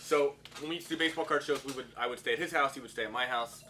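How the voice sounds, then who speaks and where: A man's voice: mid-range voice, rapid-fire, pushed and bright, a little hiss or hum. A man in his thirties, a guest and old friend, telling rambling stories in an easygoing way. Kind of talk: casual storytelling.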